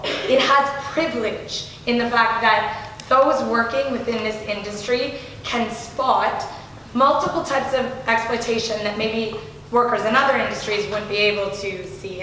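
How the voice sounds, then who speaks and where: A woman with a slightly hoarse, bright voice gives a live talk to an audience. She is keen and enthusiastic, often restarting her phrases. The sound is very echoey with a faint hum behind. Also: a little high, normal speed. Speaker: guest speaker from a foundation. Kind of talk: live speech at an event. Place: large room, audience present. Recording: very echoey room, faint noise.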